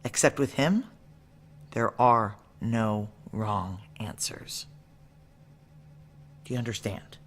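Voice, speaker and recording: An actress playing a tough, blunt security chief and ex-Ranger in a noir audio drama, with a clipped, wry, commanding way of talking. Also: female voice, extremely low pitch, slow pace, pauses often, exaggerated voice. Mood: firm, commanding.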